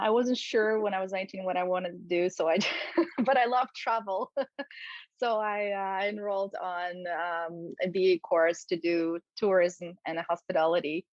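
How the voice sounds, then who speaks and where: A woman's voice: on the low side, no echo, dull audio. A woman in her thirties from Poland, a jiu-jitsu coach speaking thoughtfully in a podcast interview, with fillers and small laughs.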